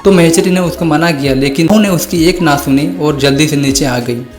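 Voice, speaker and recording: Higher-pitched male story narrator. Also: a little fast, faint music behind.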